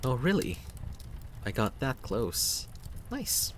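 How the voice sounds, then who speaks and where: A man's voice: medium pitch, wildly swooping pitch, slow, a little hiss or hum, voice pushed up. A man playing a cheeky, chatty young traveler in a story game, talking in a playful, teasing, casual way.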